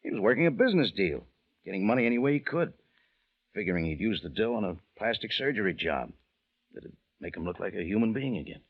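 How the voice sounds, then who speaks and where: A man's voice: fairly low pitch, sing-song, fast talker. An American man playing a tough, wry adventurer in an old radio detective drama, telling his case in clipped slang. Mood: dry, knowing. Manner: clipped hardboiled slangy delivery. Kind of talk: 1940s-50s radio detective acting with first-person narration. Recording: dull old radio recording with music.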